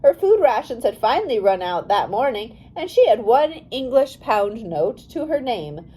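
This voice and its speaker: A woman reads a children's biography aloud in a fairly high yet mellow voice. Her delivery is careful and expressive, with plenty of rise and fall across each sentence. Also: normal speed, slightly rough, rough recording. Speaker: audiobook reader. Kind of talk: scripted narration of a biography for young readers.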